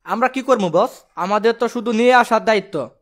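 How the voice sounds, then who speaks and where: A man's voice: high voice, a little fast, fairly bright tone. A man voicing a dim-witted, grumbling kidnapper henchman in a cartoon, naive and argumentative, with colloquial Bangladeshi Bengali. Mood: defensive. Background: music.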